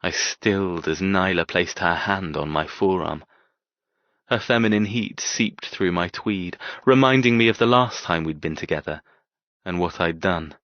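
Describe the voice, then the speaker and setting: A man narrating a dark romance audiobook in the first person as a cold, brooding, tormented man, terse and intense. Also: sits low, a little slow, no room sound, highs cut off.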